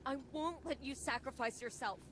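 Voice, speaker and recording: A woman's voice: sits high, moderate pace, background noise, close to an everyday voice. A woman playing an impassioned, loyal and defiant younger heroine in a video game cutscene, speaking emotionally and insistently. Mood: pleading, distressed. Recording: loud effects and ambience behind voice.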